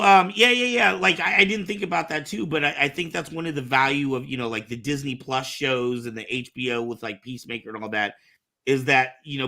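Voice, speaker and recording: A man's voice: mid-range voice, bright, forceful tone. A man hosting a casual talk show, eagerly prompting others with questions and doubling up his words.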